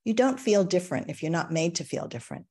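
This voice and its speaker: An older woman from the United States reads a personal essay over a video call in a measured, reflective way. Her voice sits low for a woman, and she leans into emphatic moments. She sits in a book-lined home study. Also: expressive, dark tone, breathy edge. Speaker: essayist reading her work. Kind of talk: reading a personal essay aloud.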